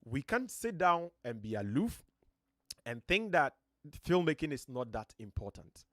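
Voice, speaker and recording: A Ghanaian man with a deep voice, giving earnest, motivational talk as host of a live stream from his home studio, his voice a little strained. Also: very animated, unhurried, fairly bright tone, dry recording. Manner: earnest, motivational, emphatic rises. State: voice slightly strained.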